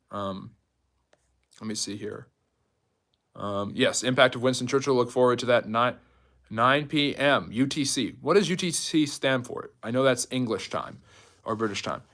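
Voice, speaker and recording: A man speaks in a mid-pitched voice as the host of a casual history live stream. He is chatty and informal, moving between explanation and replies to viewers in the manner of an off-the-cuff lecture. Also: normal speed, fairly bright tone. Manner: chatty, jumps between topics, reads out and answers viewer comments.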